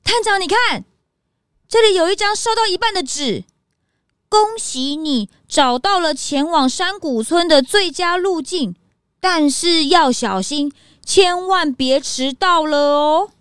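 Young detective's helper, kids' audio story: tiny high voice, animated, eager and impulsive. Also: female voice, a little slow, dry recording, clean studio sound, heavily acted. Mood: curious. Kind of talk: children's audio drama acting.

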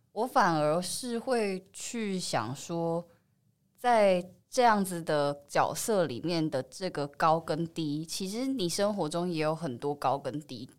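A woman with a Taiwanese Mandarin accent speaks in a slightly low voice. She talks like a guest actress in an interview, reflective and conversational, laying out her thoughts at length.

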